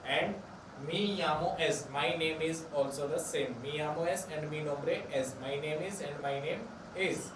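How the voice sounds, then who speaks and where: Man, teacher, slow drawn-out repeating, somewhat high voice, echoey classroom, far from mic, noisy background. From India. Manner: slow, repetitive explaining, drawn-out words. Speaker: Spanish teacher. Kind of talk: classroom lesson.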